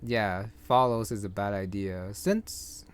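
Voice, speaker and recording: A man's voice: on the low side, takes it slowly, smooth. A man giving casual gameplay commentary as a streamer, rambling and thinking aloud as he plays. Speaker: gaming streamer. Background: game music.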